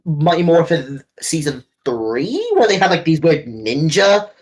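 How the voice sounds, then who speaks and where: A man's voice: on the high side, sing-song, measured pace. A man co-hosting a casual fan discussion, talking in an enthusiastic, rambling way with some casual swearing. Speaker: co-host.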